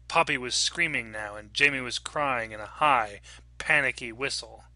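A man's voice: medium pitch, normal speed, pushed and bright, no echo. A man reading scripted fiction aloud as a dramatic narrator. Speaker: story reader and narrator.

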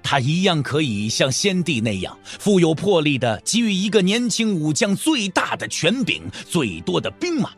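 Male narrator, slightly high voice, animated intonation, dramatic literary storytelling, light music behind. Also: moderate pace, few pauses, breathy edge. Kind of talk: dramatic scripted audiobook narration.

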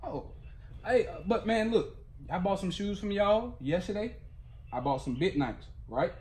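A man's voice: high voice, lively intonation, a little slow, a little mellow. A young American man making a prank phone call in casual, slangy, mock-earnest talk. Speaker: prank caller. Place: living room, on a couch indoors. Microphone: camera a short distance away. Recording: noisy room, picked up by camera.

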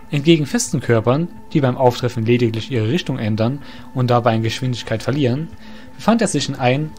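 A man's voice: mid-range voice, a little mellow. A man narrating a scripted fantasy story in formal, literary phrasing. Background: music.

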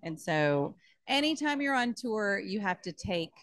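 A woman in her thirties from Texas, a touring bass player chatting casually in an interview on a beach restaurant patio, laughing often and trailing off with fillers. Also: very animated, unhurried, a bit bright, dry recording, studio quality. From Austin, Texas. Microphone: handheld mic.

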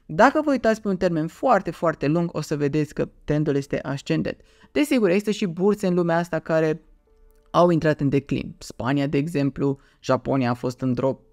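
A man's voice: on the high side, animated, no room sound, clean studio sound. A man, explaining things casually to camera in a conversational way, with rhetorical questions and repeated words for emphasis. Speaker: finance YouTuber, host. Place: small dim home room, indoors.

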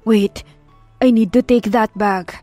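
A woman's voice: a little high, steady tone, slow pace, warm tone, no echo, faint music behind. A woman voicing a restrained, commanding Japanese man in an audiobook, speaking tersely. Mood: urgent.

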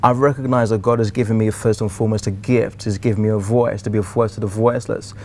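A man's voice: fairly low pitch, fairly even intonation, fast, a little mellow. A young man with a British accent speaking as an interview guest in an emphatic, oratorical, motivational style. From United Kingdom. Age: young adult. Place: TV studio.

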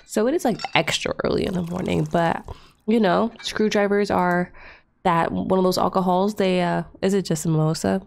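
A young woman with a stuffed-up nose talks through her gameplay in a loose, rambling way, full of fillers and little asides. Her voice sits a touch low, with a gravelly fry at the ends of phrases. She is close to a desktop microphone. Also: steady tone, normal speed. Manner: chatty, rambling, with many fillers. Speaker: Let's Play creator. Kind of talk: casual gameplay narration. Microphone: desktop USB mic.

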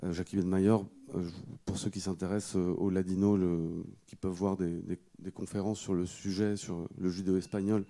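A middle-aged man telling a story at a panel discussion in a halting, hesitant way, often repeating words, into a handheld microphone. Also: sits low, flat delivery, mellow. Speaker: guest speaker, photographer. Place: indoor auditorium stage. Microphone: handheld microphone held close.

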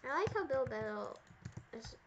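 A high-voiced female gamer chatting casually through a gameplay roleplay. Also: very animated, moderate pace, highs cut off, noisy background. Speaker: gamer playing a sister character in a roleplay video.

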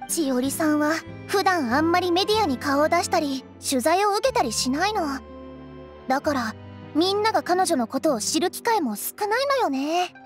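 An eager young newswoman in an anime-style game, very high in voice and lively in melody. She is principled and friendly, talking in a chatty, emphatic way over faint music. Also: normal speed. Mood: earnest, concerned.

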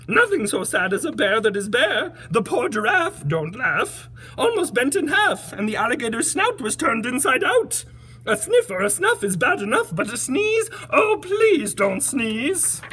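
A woman's voice: high voice, big pitch swings, a little slow, fairly bright tone, a little hiss or hum, voice pushed up, full character voice. A woman voicing a mournful, aggrieved storybook bear who complains dramatically in plaintive, declamatory rhyme.

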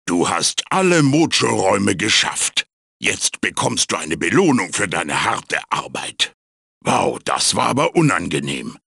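A magical talking mask, the wise but fussy mentor of a cartoonish video game. The voice is bright and gravelly, swinging very widely in pitch. Every phrase is theatrical and emphatic, recorded close and dry. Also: male voice, very animated, unhurried, dry recording, heavily acted. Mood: pleased. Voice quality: raspy, gravelly. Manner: theatrical, emphatic, swooping delivery. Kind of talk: German video game voice acting, tutorial hints and quips.

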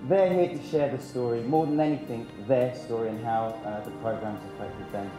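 A man's voice: mid-range voice, fairly even intonation, moderate pace, over a noisy crowd. A man speaking as a motivational presenter at a conference. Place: large conference hall. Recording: echoey hall, music over it.

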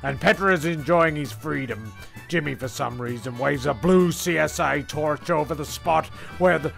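Gravelly rambling male comic narrator. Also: fairly high pitch, fairly bright tone. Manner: halting, digressive, stumbling mock-storyteller.

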